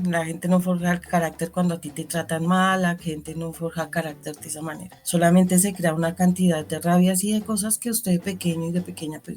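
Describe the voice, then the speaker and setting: A woman with a Bogotá accent recounts her childhood as an interview guest. She speaks in a lowish voice, circling back and restarting her thoughts as she goes. Faint music plays under her. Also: darkish tone. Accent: Bogotá Spanish. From Colombia. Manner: reflective storyteller, many restarts and fillers. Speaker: interview guest, community educator. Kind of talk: life-story interview.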